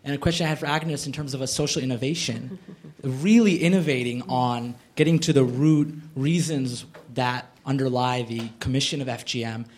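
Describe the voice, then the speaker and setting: A man's voice: medium pitch, normal speed. A man in the audience posing a formal, wordy, thoughtful question to a panelist. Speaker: audience questioner, associate editor. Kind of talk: articulate prepared question at a panel.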